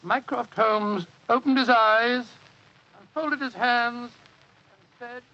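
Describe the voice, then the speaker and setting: An actor playing a measured gentleman storyteller relishing his tale in an old-time radio drama. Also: very high voice, fairly even intonation, exaggerated voice. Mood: wry storytelling. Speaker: narrator. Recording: dull, noisy vintage radio recording.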